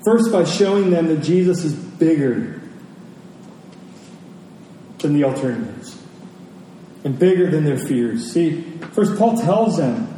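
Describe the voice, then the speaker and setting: High-voiced man preaching in an echoey hall. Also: animated, slow pace, pauses often, dark tone. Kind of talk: sermon with personal anecdotes and scripture reading. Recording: very echoey hall, distant mic, faint noise.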